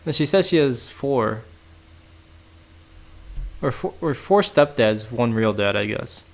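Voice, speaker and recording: A young man talks loosely on a home livestream, stringing thoughts together with lots of "like" and "bro" and breaking into laughs. His voice sits in a middle pitch with a gravelly, creaky edge. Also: lively intonation, slow pace. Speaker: streamer. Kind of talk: casual livestream chat reacting to chat.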